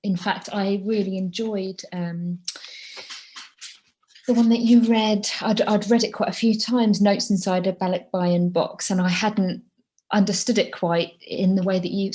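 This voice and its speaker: Mellow-voiced woman interviewer, effusive. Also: mid-range voice, steady tone, a little slow. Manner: effusive, rambling, with fillers and restarts. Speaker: interviewer and fellow poet. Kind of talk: conversational interview. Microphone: video call.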